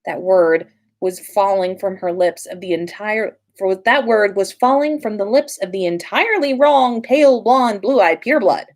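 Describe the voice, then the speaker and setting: A woman narrating fan fiction aloud as a podcast host, in an expressive storytelling style. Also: mid-range voice, normal speed, hardly any pauses.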